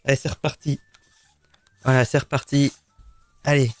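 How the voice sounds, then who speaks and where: A man's voice: mid-range voice, level tone, normal speed, no echo. A man streaming live, chatting casually and rambling teasingly to his cat.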